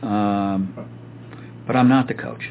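Low-voiced podcast host, lo-fi line. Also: male voice, fairly even intonation. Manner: reflective, quick to laugh. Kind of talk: casual chat. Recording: lo-fi phone-like sound with faint hiss.

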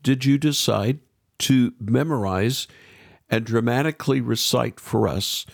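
A man hosting a radio interview podcast, speaking in a measured way with emphatic pauses. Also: medium pitch, creaky, no echo, studio quality. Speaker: podcast host.

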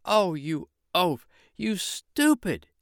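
A man voicing a sharp-tongued peasant wife in a storybook reading, raising his voice high and shrill. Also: big pitch swings, very slow, vocal fry, smooth, dry recording, clean studio sound, heavily acted. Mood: scolding. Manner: shrieking, scolding.

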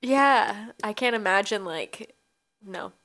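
A woman's voice: mid-range voice, takes it slowly, a bit bright. Young woman with a stuffy nose. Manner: chatty, laughs often. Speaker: podcast co-host. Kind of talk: casual chat.

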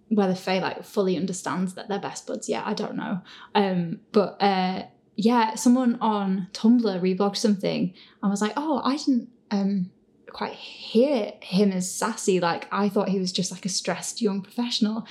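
A woman's voice: medium pitch, measured pace, darkish tone. A woman hosting a podcast Q&A as a casual, informal group chat. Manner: giggly, informal. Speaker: host of a podcast Q&A.

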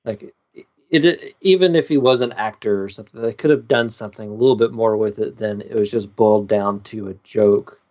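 A man on a podcast panel making casual, joking remarks in short, halting bursts. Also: on the low side, muffled recording. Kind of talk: casual banter.

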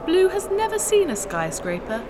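A woman's voice: very high voice, huge pitch swings, normal speed, noisy background, exaggerated voice. A woman playing an eager, naive, childlike female robot who is morbidly curious, in a sci-fi audio drama. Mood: innocent, earnest. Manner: speaks of herself in third person, singsong pleading. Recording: long hall-like reverb.

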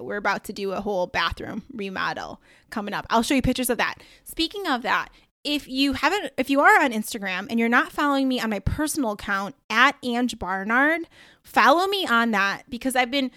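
A woman's voice: fairly high pitch, pushed and bright, no echo. Woman, casual podcast host, chatty asides, dry close sound. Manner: chatty, upbeat, full of asides and slang. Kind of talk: casual solo podcast talk.

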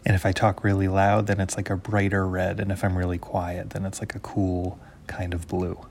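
Calm low-voiced man explaining music. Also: moderate pace, gravelly. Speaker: podcast host. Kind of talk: scripted explanatory narration. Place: home office.

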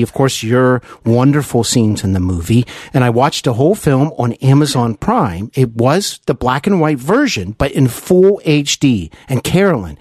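A man's voice: mid-range voice, darkish tone, breathy edge, dry recording, studio quality. A man hosting an interview in an enthusiastic, chatty, admiring way. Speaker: interview host.

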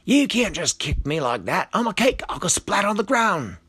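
A man's voice: medium pitch, wildly swooping pitch, measured pace, a bit bright, breathy edge, dry recording, pitched above the performer's own voice, heavily acted. A man voicing a sneaky, defiant lizard trickster who pretends to be a talking cake in a children's story. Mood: cheeky, protesting. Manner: defiant, cheeky.

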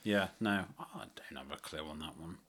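A man's voice: very deep voice, animated, moderate pace, a bit bright, slightly rough. A man chatting casually as a podcast co-host, feeling his way through phrases to blunt verdicts. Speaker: theatre review podcast co-host. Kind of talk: casual chat.

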